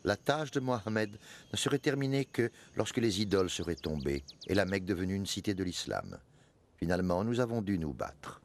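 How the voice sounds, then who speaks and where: An actor voicing a proud, devout man recounting his past in solemn, measured narration for an animated film. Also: low-pitched. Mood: grave. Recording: music under the voice.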